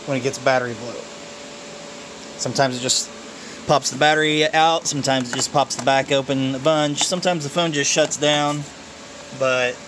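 A man's voice: mid-range voice, noisy background. Man touring his cluttered home office. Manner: relaxed, halting, many fillers. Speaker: vlogger showing his phone farm. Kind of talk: casual off-the-cuff walkthrough. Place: cluttered home office full of phones and computers. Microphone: handheld camera mic, filming while walking around.

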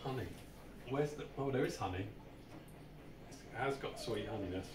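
A man's voice: mid-range voice, moderate pace. A man with a British accent hosting a casual, jokey beer review and often repeating himself. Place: small home bar room. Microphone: camera a short distance away. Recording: roomy, distant mic with background noise.

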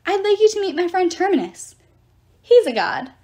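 A woman's voice: extremely high pitch, big pitch swings, moderate pace, darkish tone, pitched above the performer's own voice. A woman voicing a bold, cheeky young male hero with a brash, urgent manner in a storybook reading. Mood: cocky.

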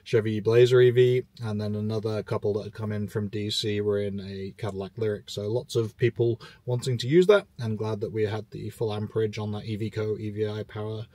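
A man's voice: lowish voice, steady tone, brisk, few pauses, mellow, dry recording. A man in his thirties, host of an EV channel, talking casually and informatively from a parked car, with many fillers. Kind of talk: casual road-trip commentary.

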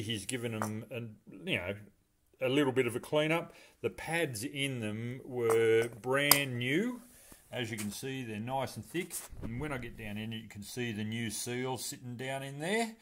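A man's voice: medium pitch, animated, unhurried, slight background noise. A middle-aged man, explaining a brake repair step by step in a casual, practical way, with plenty of fillers. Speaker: home mechanic restoring a car. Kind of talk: casual how-to narration. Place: home garage workshop.

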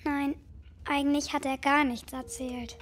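A woman's voice: high voice, flat delivery, a little slow. A young female performer playing an anxious, pleading little girl in a German radio drama. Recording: music under the voice.

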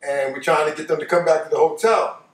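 Casual male storyteller, late fifties, New York accent, animated and repetitive for emphasis, recorded in a roomy living room at some distance. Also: fairly high pitch, fast. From New York, United States. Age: late 50s. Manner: animated, repeats phrases for emphasis. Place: living room, seated on a couch.

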